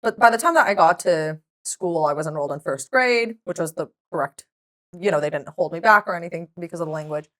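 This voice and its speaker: A woman whose first language is Hebrew chats as a podcast guest, a touch low in pitch. She tells her stories with lively energy and breaks into laughter often. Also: fast talker. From Israel. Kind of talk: casual chat.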